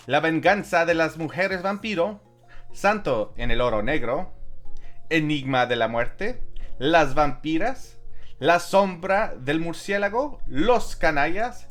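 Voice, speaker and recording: Animated man narrating a film review. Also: fairly high pitch. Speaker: host of a film review show.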